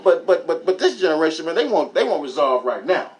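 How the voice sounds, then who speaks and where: Halting older man on a radio panel. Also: on the high side, sing-song. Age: 60s. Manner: halting, with many repeated words. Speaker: panel guest.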